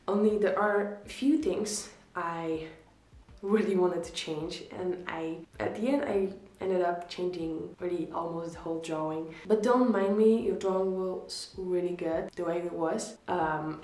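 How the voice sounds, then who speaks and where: Young Polish woman, art-channel host, chatty and encouraging, lowish voice, echoey room mic. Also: darkish tone. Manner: chatty, encouraging, repeats "really". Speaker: art YouTuber reviewing viewer drawings. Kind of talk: casual instructional talk to camera. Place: small indoor room against a plain wall.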